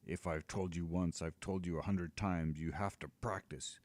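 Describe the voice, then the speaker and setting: A man's voice: deep voice, steady tone, moderate pace, a little mellow, no echo, natural voice. A man voicing a blustering male giant who scolds and lectures a dragon. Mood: scolding. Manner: hectoring, bossy.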